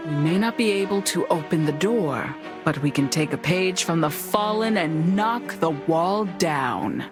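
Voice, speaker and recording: A woman's voice: deep voice, animated, unhurried. A woman playing a skeptical, no-nonsense investigator in a video game, firm and matter-of-fact. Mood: dry skepticism. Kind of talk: video game cutscene acting. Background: music.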